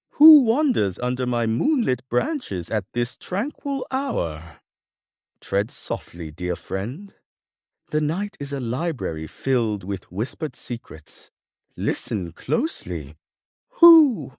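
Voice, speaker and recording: Wise old storybook owl: mysterious, courteous, hooting, wildly swooping pitch, dry sound. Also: male voice, dry recording, heavily acted. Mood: mysterious, teasing. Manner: theatrical hooting, slow hushed storytelling. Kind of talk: storybook character reading.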